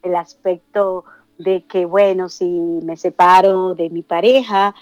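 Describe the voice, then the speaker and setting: A woman's voice: fairly low pitch, steady tone, a little mellow, no room sound, muffled recording. A woman from the Dominican Republic with a Dominican accent, co-hosting a radio show and speaking earnestly, with frequent hesitations. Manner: earnest, halting, many "eh" fillers. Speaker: radio co-host. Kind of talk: reflective radio talk.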